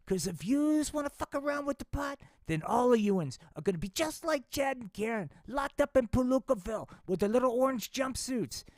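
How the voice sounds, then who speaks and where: A man doing a shrill bossy puppet cop. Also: animated, moderate pace, few pauses, no room sound, studio quality. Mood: scolding. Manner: shouting, slangy "youans" talk. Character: bossy loudmouth police officer puppet, preachy.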